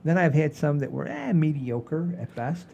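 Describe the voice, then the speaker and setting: An older man hosting a casual podcast, rambling in a folksy, halting way with repeats and the odd mild swear. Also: fairly high pitch, gravelly, a little mellow, slightly rough, dull audio. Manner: folksy, mildly profane, halting and repetitive. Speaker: podcast host.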